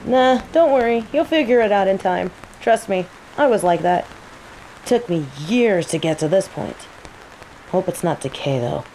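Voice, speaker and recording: A woman playing a cocky, teasing female mage who controls plants, in a scripted roleplay audio drama, with an unhurried, playful drawl. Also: on the low side, poor audio. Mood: casual, instructive. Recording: steady rain ambience noise. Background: falling rain.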